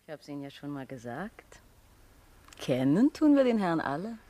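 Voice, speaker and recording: A woman's voice: deep voice, big pitch swings, moderate pace, mellow. An actress playing a saucy, streetwise working girl in a 1960s German radio drama, drawling and mocking. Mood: teasing, amused.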